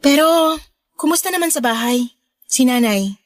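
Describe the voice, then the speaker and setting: An actress playing a supportive, affectionate married older sister in a Tagalog radio drama, with a Filipino accent. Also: female voice, high-pitched, expressive, normal speed, no room sound, clean studio sound. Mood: encouraging. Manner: encouraging, affectionate.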